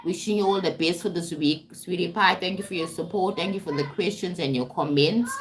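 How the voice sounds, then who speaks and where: A woman from South Africa speaks in a low voice for a woman, talking loosely to viewers on a live stream. She is a spiritual coach who wanders through her points and circles back to them. She keeps tagging her sentences with "right?" and "you understand?". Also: a little fast, background noise. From South Africa (Durban). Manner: rambling and repetitive, often asks "right?" and "you understand?". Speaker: spiritual and life coach, tarot reader.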